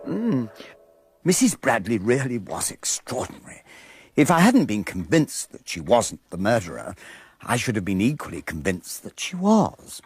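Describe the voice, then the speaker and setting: An actor playing an earnest, courteous gentleman amateur detective in a period radio whodunit, urbane and slightly pompous, with a husky upper-class English voice. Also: mid-range voice, huge pitch swings, normal speed, no echo, full character voice. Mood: reflective. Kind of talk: period radio drama acting.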